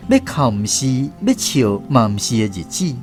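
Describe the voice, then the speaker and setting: Male narrator, Taiwanese accent, dramatic storytelling, wide lively intonation, soft music underneath. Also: mid-range voice, normal speed.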